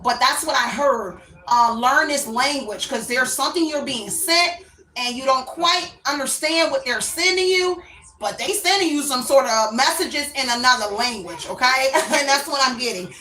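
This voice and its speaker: Woman tarot reader, bright and sharp-toned, chatty and meandering, talks to viewers as "baby.". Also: high voice, reverberant, low-quality recording. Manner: rambling and unsure, often adds "I don't know". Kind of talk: casual spoken tarot reading to viewers.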